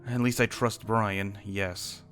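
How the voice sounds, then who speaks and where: A man acts a wary guild wizard who is fiercely protective of his own. His voice is on the low side, blunt and grudging. The fantasy roleplay has faint music behind it. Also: steady tone, slow, fairly bright tone. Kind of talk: improvised fantasy roleplay acting.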